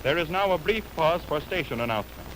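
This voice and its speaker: A man's voice: fairly high pitch, lively intonation, fast, fairly bright tone, a little hiss or hum, poor audio. A man announcing formally from a script in 1920s radio style, on an old warped film recording of a broadcast.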